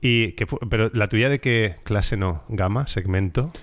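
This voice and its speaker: Male podcast host, lowish voice, rambling and jokey, lots of fillers, dry close mic. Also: unhurried, no echo. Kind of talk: casual podcast chat.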